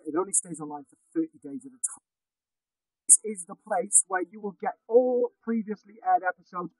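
A man's voice: high-pitched, a bit bright, no echo, low-quality recording. A man podcast host reading a jokey, mock-dramatic promotional announcement through a robotic vocoder effect. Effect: vocoder, synthetic robotic filter.

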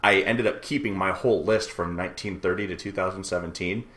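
Give me a deep-voiced man in casual podcast banter, relaxed and joking. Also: quick pace. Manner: laid-back, joking, swears casually. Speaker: podcast co-host.

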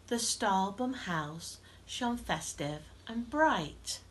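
A woman's voice: mid-range voice, slight background noise. A woman in her thirties reading a rhyming storybook to children in a living room.

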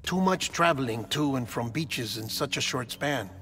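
A man's voice: medium pitch, lively intonation, normal speed, a bit bright, faint music behind, close to an everyday voice. A man playing a composed medical team member who explains things in a video game cutscene. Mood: explanatory.

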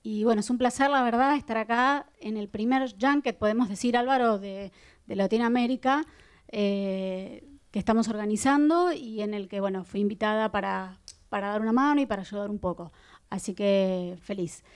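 A woman's voice: mid-range voice, normal speed, smooth. A woman, a film distribution coordinator explaining press rules politely, with many fillers, into a handheld mic. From Argentina. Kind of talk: press conference announcements. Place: cinema stage at a press conference table.

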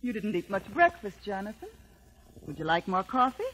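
Kindly homebody, mid-pitched, very expressive, gentle, vintage radio drama. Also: female voice, normal speed. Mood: concerned. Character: kindly, sympathetic woman chatting at home. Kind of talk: 1950s radio drama acting. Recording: faintly noisy old radio recording.

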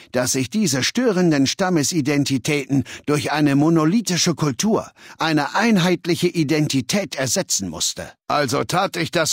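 A ruthless male game warlord, middle-aged, coldly arrogant, lectures like he owns you, mid pitch, super dry sound. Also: breathy edge, dry recording. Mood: lecturing, proud. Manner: measured, authoritative, lecturing, coldly matter-of-fact. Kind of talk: scripted video game dialogue in German.

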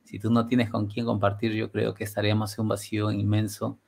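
A middle-aged man hosts a relaxed interview from his home studio, speaking through a headset. His voice sits low with a gravelly edge. His Spanish carries a Portuguese tinge and he talks in a thoughtful way. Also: a little fast. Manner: warm, reflective, slips into Portuguese-flavored Spanish. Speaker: podcast host. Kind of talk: casual video-call interview.

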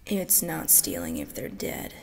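A woman's voice: huge pitch swings, measured pace, long reverb tail. Young woman rattling off character voices. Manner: shifts between whispery, husky and squeaky put-on voices. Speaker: gaming video creator. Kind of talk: rapid-fire character impressions. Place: cluttered bedroom.

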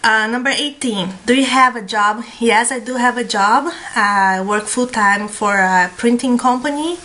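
A woman's voice: medium pitch, fairly bright tone. A woman in her thirties with a Brazilian Portuguese accent, chatting casually to a webcam at home with many fillers. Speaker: beauty vlogger. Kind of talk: casual chat. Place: small room at home, indoors. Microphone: webcam at desk.

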